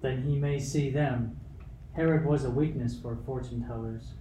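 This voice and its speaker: Man as blunt, dark-voiced army captain, echoey. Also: mid-range voice, steady tone, normal speed, lightly acted. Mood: scornful. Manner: curt, dismissive. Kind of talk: radio play acting. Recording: roomy, distant mic, faint noise.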